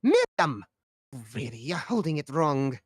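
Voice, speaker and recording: A woman's voice: low-pitched, sing-song, a little slow, husky, no room sound, studio quality, heavily acted. A woman playing a dotty, bossy elderly former actress in a screenplay table read, theatrical and scolding.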